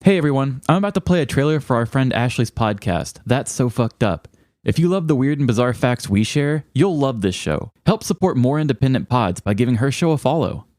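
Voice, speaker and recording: A man's voice: medium pitch, animated, brisk, a little mellow, studio quality. Guy in his 30s, casual podcast chat, mid voice, no room echo. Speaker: podcast co-host.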